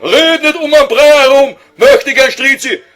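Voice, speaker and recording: A man's voice: very high voice, measured pace, pushed and bright, soft background music, heavily acted. A man voicing a grumpy old scholar in Viennese dialect for an audio comedy sketch, whining and impatient. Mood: impatient, irritated.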